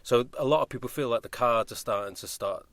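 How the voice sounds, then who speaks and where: A man's voice: mid-range voice, fast, fairly bright tone, dry recording. A man co-hosting a casual podcast conversation, speaking in an emphatic, opinionated way. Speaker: podcast co-host.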